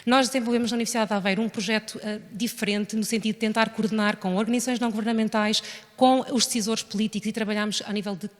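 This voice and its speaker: Woman researcher, European Portuguese accent, higher-pitched voice, explaining earnestly to a public meeting in an echoey hall. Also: steady tone, rapid-fire. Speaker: university researcher on a panel. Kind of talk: public talk on policy and research. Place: large indoor hall, public event. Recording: echoey hall with long reverb.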